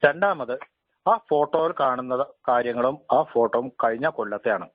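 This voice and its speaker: A man's voice: medium pitch, moderate pace, no echo. A man making a formal complaint over the telephone, polite and deferential but insistent. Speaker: temple head priest, complainant caller. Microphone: telephone call. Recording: tinny narrow phone line.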